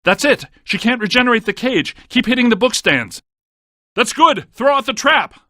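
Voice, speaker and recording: A man playing a brainy, deadpan scientist ghost hunter in a video game, with precise technical wording. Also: extremely high pitch, normal speed, no echo, studio quality, full character voice. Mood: urgent, alarmed. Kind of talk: scripted video game voice acting.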